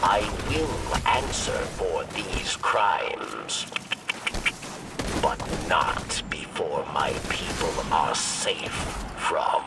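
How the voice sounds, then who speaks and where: A man voicing a weary, remorseful alien exile in a video game, with a gravelly, breathy rasp and halting, formal, solemn speech. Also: a bass voice, wildly swooping pitch, loud music behind the voice, heavily acted. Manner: halting, formal, solemn, refers to himself by name. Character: weary, remorseful male alien exile speaking of himself in third person. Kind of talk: video game cutscene acting. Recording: loud game score, slightly distant voice.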